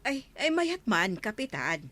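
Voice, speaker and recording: Lively, gossipy village woman. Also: a little high, measured pace, exaggerated voice. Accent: Ilocano. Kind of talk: Ilocano radio comedy drama acting.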